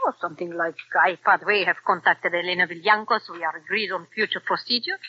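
A coaxing fascist spy. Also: female voice, fairly low pitch, brisk, sharp tone, no room sound. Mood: scheming. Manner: smooth, coaxing, formal foreign phrasing. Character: scheming woman fascist agent, foreign-accented, coaxing. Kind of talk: 1940s radio acting. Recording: dull old radio recording.

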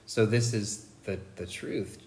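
A man's voice: lowish voice, steady tone, slow pace, darkish tone, slight background noise. A man co-hosting a casual Bible study podcast, thoughtful and enthusiastic, often restarting his phrases. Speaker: podcast co-host.

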